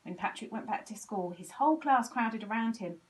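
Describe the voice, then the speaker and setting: Expressive woman reading a children's storybook. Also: on the high side, moderate pace, far from the mic, low-quality recording. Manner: animated, acting out dialogue. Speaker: volunteer story reader.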